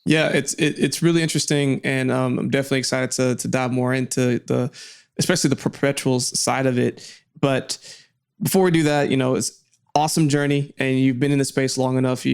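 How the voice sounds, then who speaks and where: A man hosting an interview, with a gravelly yet smooth, resonant voice. He sounds upbeat and asks his questions casually, with a few fillers along the way. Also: medium pitch, little pitch movement, a little fast, a little mellow. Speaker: podcast host.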